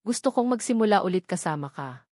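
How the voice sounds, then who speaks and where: A woman's voice: medium pitch, lively intonation, fast, darkish tone, no echo, clean studio sound, natural voice. Woman voicing an earnest, grieving, calculating man. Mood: weary surrender. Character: grieving, calculating man feigning weary surrender to trap someone.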